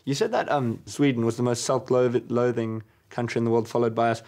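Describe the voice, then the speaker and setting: A young man with a South African accent, deadpan and awkward as he asks mock-innocent interview questions. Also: medium pitch, a little fast. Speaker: comedy host interviewer.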